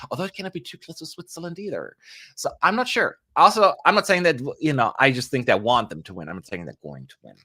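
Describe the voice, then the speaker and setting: A man's voice: mid-range voice, bright, forceful tone. A young man hosting a live stream, chatting casually with playful asides and jokes. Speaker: livestream host.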